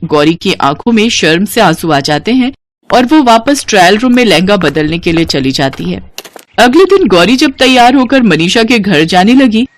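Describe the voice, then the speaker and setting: A woman's voice: mid-range voice, a little hiss or hum. A woman narrating a scripted moral story as a storyteller.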